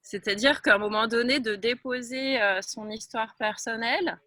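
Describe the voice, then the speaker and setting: A woman's voice: fairly high pitch, moderate pace, bright, forceful tone, no echo. A woman from France, a Taoist teacher, talking frankly and emphatically as an interview guest. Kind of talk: reflective interview talk.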